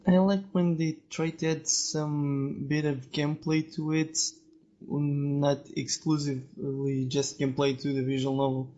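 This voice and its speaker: A man talks casually as a podcast guest, with little movement in his pitch. He is hesitant and halting as he searches for words. The recording is dull and lo-fi. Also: flat delivery, mellow. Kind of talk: casual discussion of opinions. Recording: dull, lo-fi voice-chat audio.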